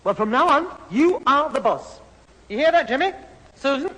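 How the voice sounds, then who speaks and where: A woman playing an exasperated, sharp-tongued mother in a radio sitcom. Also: high-pitched, expressive, normal speed. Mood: huffy. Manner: scolding, put-upon. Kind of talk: 1970s radio sitcom acting. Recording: reverberant old radio recording.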